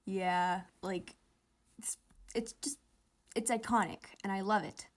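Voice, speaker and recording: A woman's voice: medium pitch, steady tone, takes it slowly. A young woman reading comics aloud and reacting casually as a fan, in a gushing, giggly, sweary way.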